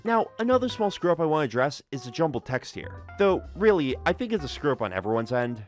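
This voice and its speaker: A man's voice: a little high, sing-song, quick pace, soft background music. A man narrating a scripted video analysis with casual asides, in an emphatic, conversational and informal way. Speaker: video essay host and narrator.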